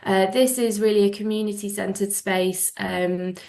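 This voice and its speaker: A woman's voice: medium pitch, fairly even intonation, a little slow, creaky, a little mellow. A woman with a head cold, sounding a little nasal, giving a presentation at a panel with many ums and kind ofs. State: congested with a cold, a bit nasal. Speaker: museum environmental manager. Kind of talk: presentation talk.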